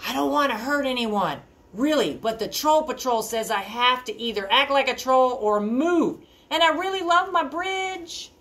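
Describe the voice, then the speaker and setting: A woman voicing a gentle, artsy male troll who tries to sound mean and chats warmly in a lively storybook voice. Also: sits high, moderate pace, hardly any pauses, pitched above the performer's own voice. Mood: kind. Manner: chatty storybook voice, shouts threats half-heartedly.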